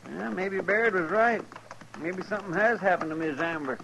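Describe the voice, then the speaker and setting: Faint noise of a vintage radio recording surrounds a frontier marshal's helper. He is folksy and deferential, with an uneasy air. His voice runs a bit high. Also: moderate pace, vocal fry. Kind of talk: 1950s radio western acting.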